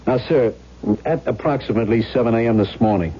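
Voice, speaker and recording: An actor playing a wry, plain-spoken police lieutenant in a 1970s radio drama, patient and businesslike with a sardonic edge. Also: male voice, mid-range voice, fairly quick, warm tone, husky, close to an everyday voice. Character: dry, wry male police lieutenant, patient but increasingly exasperated. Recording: dull vintage radio broadcast recording.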